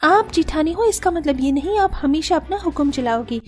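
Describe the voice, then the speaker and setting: A woman voicing a sharp-tongued young sister-in-law in a cartoon story, quick to argue. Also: sits high, animated. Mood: pleading. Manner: quick, argumentative, sulky. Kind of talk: cartoon voice acting.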